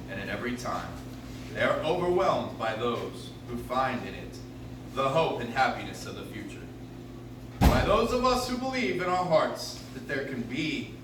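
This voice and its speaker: Man acting as genial old-time radio producer-host, stately yet animated, distant mic, noticeable noise. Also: mid-range voice, close to an everyday voice. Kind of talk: 1940s radio host sign-off. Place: live stage in a coffee shop. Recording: echoey room, distant, clear background noise.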